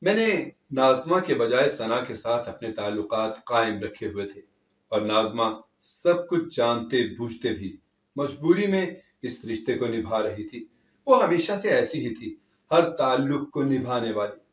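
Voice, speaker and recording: A man's voice: mid-range voice, sing-song, a little slow. A man narrating a scripted dramatic story in the first person, as a storyteller. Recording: echoey, distant from the mic.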